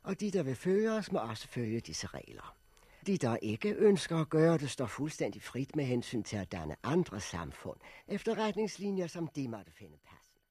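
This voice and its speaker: A man playing a solemn, authoritative leader giving a formal, deliberate speech in a 1960s radio drama. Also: mid-range voice, sing-song, dark tone, breathy edge, no room sound. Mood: solemn, firm. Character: solemn, authoritative male leader addressing a gathering.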